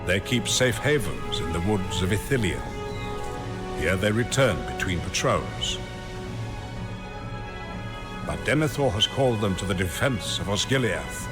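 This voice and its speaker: Deep, grave old wizard over music. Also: male voice, lively intonation. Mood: solemn. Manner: portentous, deliberate, formal. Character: grave, commanding old male wizard guiding warriors in war. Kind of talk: solemn scripted game narration. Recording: voice under clear background music.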